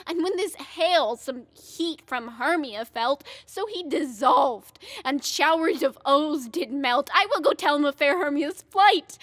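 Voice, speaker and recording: High-voiced, lovesick young Shakespearean heroine played by a woman. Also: fairly bright tone, dry recording. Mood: resolved. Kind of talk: Shakespearean audio drama verse soliloquy.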